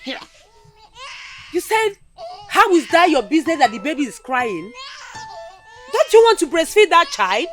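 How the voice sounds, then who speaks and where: A woman's voice: very high-pitched, very animated, a little slow, long pauses, faint music behind, heavily acted. An actress playing a middle-aged mother, coaxing and scolding with strong emotion. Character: caring but stern Nigerian mother, middle-aged.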